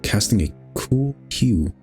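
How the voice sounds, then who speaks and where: A very low-pitched male voice reads a horror game story aloud with measured, dramatic phrasing. He hosts the playthrough as narrator, with soft music lying underneath. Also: lively intonation. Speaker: host reading a visual novel aloud. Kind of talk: expressive scripted story narration.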